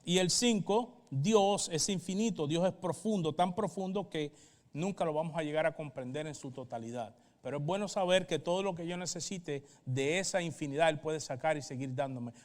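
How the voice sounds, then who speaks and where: A pastor, a man with a Puerto Rican accent, teaching the Bible in a didactic way and checking in with short questions. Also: fairly high pitch. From Puerto Rico. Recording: reverberant hall sound.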